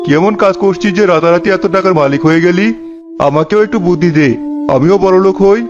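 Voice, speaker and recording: A man's voice: sits high, fairly quick, lo-fi sound, over music, natural voice. A man playing a greedy, envious uncle in a fairy-tale cartoon, wheedling with sweet, over-friendly affection.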